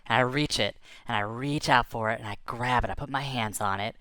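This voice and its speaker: A man's voice: mid-range voice, fairly quick, sharp tone, clear, resonant tone, no echo. A man casually telling a tall tale in the first person, rambling with many fillers. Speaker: storyteller.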